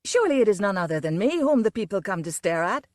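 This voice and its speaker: A woman's voice: mid-range voice, sing-song, fast, a little mellow, no room sound, studio quality, natural voice. A woman voicing a supercilious, languid circus giraffe boy who speaks in a haughty, aloof way.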